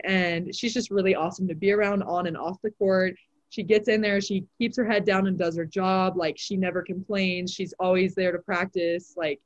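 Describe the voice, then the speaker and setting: A teenage girl, a high school volleyball player, answering interview questions in a casual way with lots of "like" fillers. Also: lo-fi sound. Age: teens. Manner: chatty, many "like" fillers.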